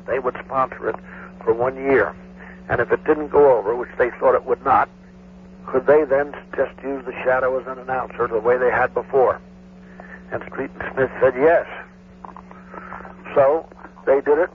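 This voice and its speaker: A man's voice: mid-range voice, steady tone, normal speed, pauses often. A man reminiscing in an archive interview, on a tinny, noisy recording.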